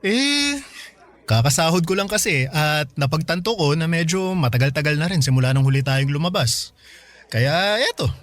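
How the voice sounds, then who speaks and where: A young Filipino boyfriend in a radio drama, careful with money and earnest in his care. His male voice sits a little high, with a caring, sincere manner. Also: lively intonation, normal speed, fairly bright tone. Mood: affectionate.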